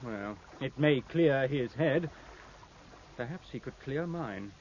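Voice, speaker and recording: Worried english first lieutenant, mid pitch, muffled old radio drama with some hiss. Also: male voice, lively intonation, slow, a little mellow. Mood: troubled. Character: worried British first lieutenant, burdened by command. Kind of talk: 1950s radio drama acting. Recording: muffled, noisy 1950s radio recording.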